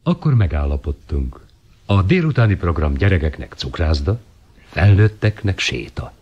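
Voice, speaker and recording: An actor playing a genial grown man with a husky voice in a radio play, on a dull old recording. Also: deep voice, big pitch swings, heavily acted. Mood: genial, decisive. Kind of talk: Hungarian radio play acting.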